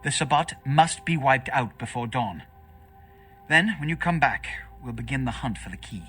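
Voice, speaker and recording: An actor playing an imperious, scheming vampire prince in a video game, with a pompous, formal and grandiloquent manner. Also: male voice, medium pitch, expressive, normal speed, fairly bright tone, slightly rough, soft background music. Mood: gloating. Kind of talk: dramatic video game voice acting.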